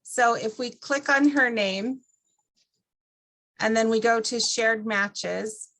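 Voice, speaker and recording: Conversational woman walking through software. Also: a little high, takes it slowly, poor audio. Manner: conversational, explanatory, step-by-step. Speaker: presenter teaching a class. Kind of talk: informal software walkthrough.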